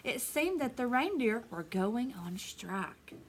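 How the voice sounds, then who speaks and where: A woman reading a children's storybook aloud in an animated way, pausing to put questions to her young listeners. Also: on the high side, husky. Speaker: elementary school teacher reading aloud.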